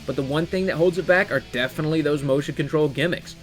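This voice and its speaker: A man's voice: on the high side, fast. A young man giving enthusiastic, casual scripted video game commentary with playful, slangy asides. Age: young adult. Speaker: video game reviewer, presenter. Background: background music.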